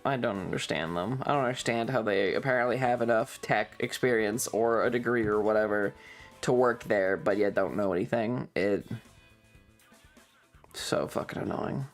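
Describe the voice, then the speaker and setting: A man chatting casually as a streamer, telling stories in an exasperated, rambling, sweary rant. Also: mid-range voice. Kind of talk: casual stream chat, ranting storytelling.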